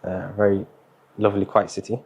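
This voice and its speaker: A young man, talking as a guest in a casual interview, relaxed and hesitant, with many fillers and Arabic words mixed in. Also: on the low side, a little fast, gravelly, breathy edge. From Somali, born in the Netherlands, raised in England. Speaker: guest, university student.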